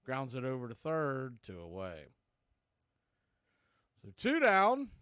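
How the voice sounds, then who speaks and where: Guy calling baseball on the radio, clear voice, close dry sound, chatty short phrases. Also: mid-range voice, huge pitch swings, slow pace, fairly bright tone, no room sound. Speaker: baseball play-by-play host. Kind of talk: live radio baseball commentary.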